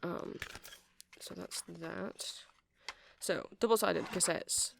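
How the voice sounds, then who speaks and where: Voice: medium pitch, slow pace, gravelly, noisy background. A performer voicing a chatty, nerdy male vampire shopkeeper in an ASMR roleplay, rambling hesitantly and apologetically as they explain things. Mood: rambling, explanatory. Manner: hesitant, rambling, self-correcting. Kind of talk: ASMR roleplay, casual rambling sales chat.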